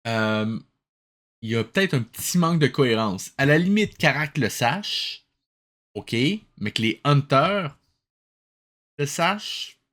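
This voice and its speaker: A man's voice: mid-range voice, animated, takes it slowly, long pauses, a bit bright. A man with a Quebec French accent giving sarcastic, nitpicking reaction commentary, dropping in English phrases. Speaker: host of a cartoon review show.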